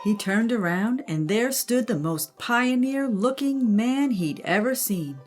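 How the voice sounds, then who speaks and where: A woman's voice: on the high side, animated, a little slow, a little mellow. An older woman, a grandmother, narrating a children's story.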